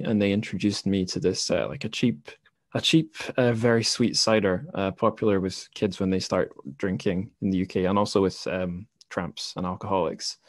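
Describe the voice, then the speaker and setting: A man's voice: on the low side, a little mellow, no echo, clean studio sound. A man hosting a podcast in casual conversation, speaking hesitantly and with self-deprecation. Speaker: podcast host. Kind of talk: casual interview chat.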